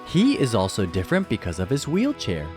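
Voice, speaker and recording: A man playing a gentle, patient ape papa who reads to his child in an expressive, gently instructive way. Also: medium pitch, brisk, soft background music. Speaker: storybook reader. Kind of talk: animated children's read-aloud.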